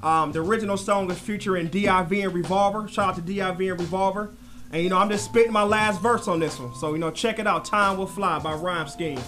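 American male rapper, thirties, high voice, casual slangy interview guest, light music in background. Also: fairly even intonation, quick pace, a bit bright. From Detroit, United States. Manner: slangy, addresses listener as 'man'. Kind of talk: casual chat. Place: radio studio with brick wall, indoors. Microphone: close studio microphone, headphones.

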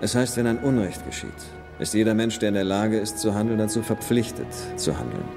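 An actor in a German film dub playing an earnest, determined treasure hunter with a measured, serious manner. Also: male voice, fairly low pitch, expressive, gravelly, music in the background. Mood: solemn, resolute. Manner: measured, serious, hesitant when explaining.

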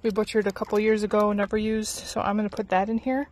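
A woman's voice: medium pitch, level tone, quick pace, a little mellow. A middle-aged woman giving a chatty, informal how-to on a phone outdoors, explaining as she goes with some hesitation. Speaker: chicken keeper presenting a how-to. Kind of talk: casual chatty how-to vlog. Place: outdoors in a farmyard chicken run. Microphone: handheld phone at arm's length. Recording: outdoor phone audio with background noise. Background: chickens clucking, outdoor noise.

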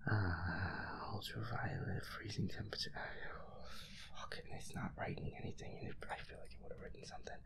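Nervous, whispering gamer with a creaky voice. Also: deep voice. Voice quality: hushed, whispery, breathy. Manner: nervous, hesitant, muttering, profane. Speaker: gamer playing a ghost-hunting game. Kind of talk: horror game playthrough commentary.

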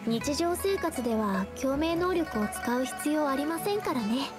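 A woman's voice: high-pitched, lively intonation, unhurried. An actress playing a shy, earnest, naive young woman painter in an anime game, speaking politely and hesitantly. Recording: background music under the voice.

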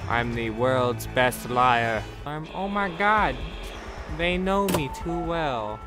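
A man's voice: medium pitch, expressive, takes it slowly. A man commentating his own gameplay, joking and swearing, now and then putting on silly voices. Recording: game music underneath.

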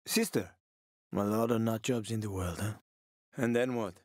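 A man's voice: lowish voice, moderate pace, darkish tone, no room sound, close to an everyday voice. A man acting a wry survivor, dry close recording. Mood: wry, casual. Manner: casual, sardonic, conversational. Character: wry, world-weary male survivor and smuggler. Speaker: video game voice actor. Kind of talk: video game character dialogue.

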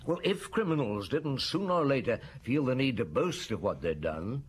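Casting: male actor as seasoned detective for radio drama, thoughtful and deliberate, lively intonation, mid-pitched, noisy track. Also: close to an everyday voice. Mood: pensive, probing. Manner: measured, deliberate, musing.

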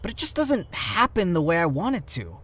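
A performer voicing a self-conscious, frustrated teenage goat monster boy in a comic dub, expressive and self-deprecating. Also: medium pitch, fairly quick. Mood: hesitant.

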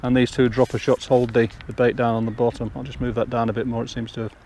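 A man's voice: medium pitch, level tone, quick pace, mellow, slight background noise. An older man casually explaining fishing steps outdoors on a riverbank, talking step by step. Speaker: angler and channel host.

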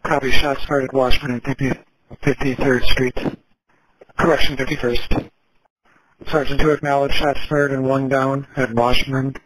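A man's voice: medium pitch, flat delivery, normal speed. Man, police dispatcher, clipped radio talk, repeats calls back, faint hiss. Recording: noisy police radio audio.

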